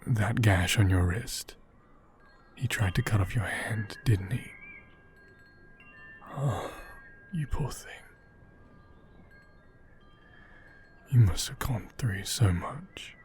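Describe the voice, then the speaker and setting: A man acting a gentle, caring doll owner in a soft ASMR roleplay, speaking tenderly and haltingly, often in whispers. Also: lowish voice, a little hiss or hum. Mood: shocked, pitying.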